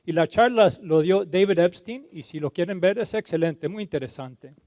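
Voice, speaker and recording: A man from Colombia giving a conference talk, halting and repeating words, slipping into terms now and then. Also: high-pitched, darkish tone, no room sound, clean studio sound. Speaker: conference speaker. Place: conference hall stage.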